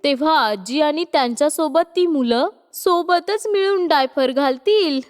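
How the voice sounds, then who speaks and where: A woman's voice: sits high, animated, unhurried. A woman voicing a mocking, gossipy woman in a cartoon moral story. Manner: mocking, teasing. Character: mocking, gossipy woman ridiculing an older relative. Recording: long hall-like reverb.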